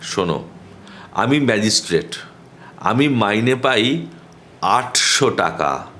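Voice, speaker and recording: A man voicing a proud, solemn magistrate and writer who teases dryly in a slow, deliberate, deep voice. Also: very animated, fairly bright tone, slight background noise, heavily acted. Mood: proud, teasing.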